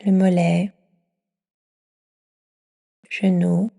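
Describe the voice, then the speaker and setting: A woman leading a guided yoga nidra meditation, speaking softly and soothingly and often trailing into a breathy whisper. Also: lowish voice, flat delivery, very slow. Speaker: yoga nidra teacher guiding a session.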